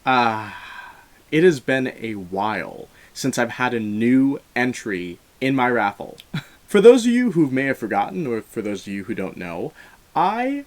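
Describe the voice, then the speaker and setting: A man with an average-pitched voice hosts a film review show. He speaks casually and with enthusiasm, laying out his opinions in a chatty manner as he addresses his viewers. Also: very animated, measured pace.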